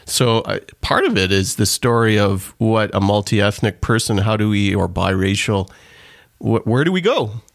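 A man's voice: lowish voice, animated, moderate pace, gravelly, no echo, clean studio sound. A man from Trinidad, an author and pastor, speaking earnestly as an interview guest and explaining his ideas at length. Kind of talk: interview conversation.

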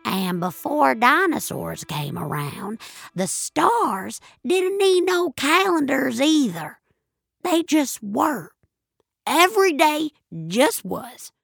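Lady doing a crotchety old southern granny, folksy and gloomy, swoopy pitch, creaky voice, audio drama. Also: very animated, slow pace, fairly bright tone, no echo, clean studio sound, full character voice. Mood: musing, emphatic. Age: older. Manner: folksy, blunt, fatalistic musing.

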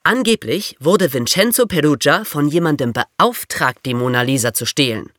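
An actor playing an excitable, chatty boy who eagerly tells stories in a scripted podcast. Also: fairly high pitch, moderate pace, no echo, clean studio sound. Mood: excited storytelling. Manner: eager, animated storyteller. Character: excitable, chatty boy sharing investigated theories. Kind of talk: scripted podcast banter and storytelling.

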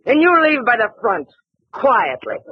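A husky, no-nonsense bar owner in her middle years barks orders and trades wisecracks with a lively, swooping delivery. It sounds like a vintage radio play, with muffled, lo-fi sound. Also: fairly high pitch, measured pace, exaggerated voice. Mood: bossy. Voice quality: husky, bossy, blunt. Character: tough middle-aged woman running a roadside bar. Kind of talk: 1940s radio acting. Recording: dull old radio broadcast.

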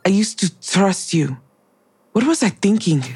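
Distrustful female colleague: rough-edged husky voice, blunt accusatory audio drama delivery. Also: mid-range voice, lively intonation, a little slow, a little mellow. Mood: accusing, bitter.

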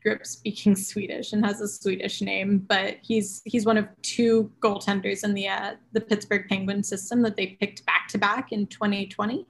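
A woman on a podcast breaking down goalie prospects in knowledgeable detail. She thinks aloud with frequent ums and restarts. Her mid-pitched voice carries a noticeable vocal fry. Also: little pitch movement, normal speed, few pauses, slight background noise. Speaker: podcast guest, hockey analyst. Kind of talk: casual hockey chat.

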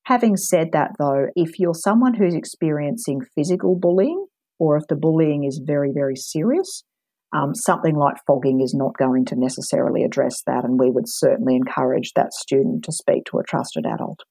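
A woman, giving calm, measured advice as an interview guest, with frequent ums. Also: sits low, husky, no room sound. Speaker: anti-bullying expert guest. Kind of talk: calm advisory interview answers.

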